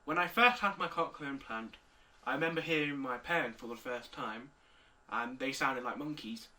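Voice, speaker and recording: An eighteen-year-old man, calmly answering interview questions with pauses. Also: medium pitch, recorded from a distance. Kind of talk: recorded video interview answers.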